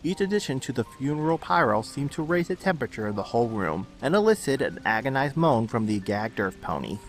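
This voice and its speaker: A man's voice: mid-range voice, big pitch swings, a little fast, a little hiss or hum. A man reading scripted dramatic story narration. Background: music under the narration.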